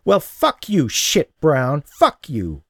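A man voicing a boisterous, quick-tempered young woman who squeals and swears, in an audiobook. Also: fairly high pitch, very animated, darkish tone, no echo, clean studio sound, voice pushed up. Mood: furious. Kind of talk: audiobook character voice.

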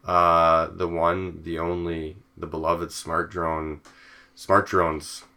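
A man's voice: low voice, flat delivery, slow pace, sharp tone. A man hosting a podcast interview in a casual, rambling way, full of fillers and restarts. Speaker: podcast host.

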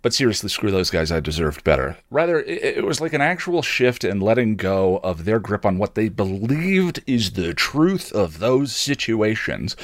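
A middle-aged man telling a personal story as a podcast host, reflective and halting as he searches for words, with a wry streak. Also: on the low side, big pitch swings, no room sound.

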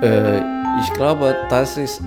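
A man from China with a Chinese accent, a native Chinese speaker giving halting interview answers in non-native phrasing. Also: medium pitch, loud music behind the voice.

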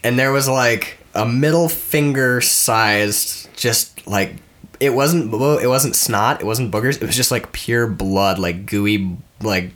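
A man's voice: medium pitch, slow pace, fairly bright tone. A young man with a congested, stuffed-up voice, co-hosting a casual podcast and rambling through a story with laughs. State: congested from a cold. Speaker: podcast co-host. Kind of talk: casual chat.

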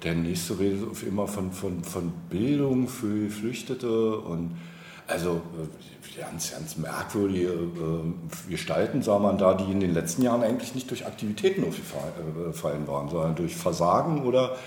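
A man speaking as a politician in a casual interview, halting as he searches for words. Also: low voice, lively intonation, darkish tone. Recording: roomy echo, clear background noise.

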